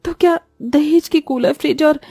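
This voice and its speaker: A woman's voice: sits high, moderate pace, mellow, voice pushed up. A woman voicing a meek, wronged young bride in a cartoon story, pleading and plaintive. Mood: hurt, pleading. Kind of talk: Hindi cartoon story voice acting.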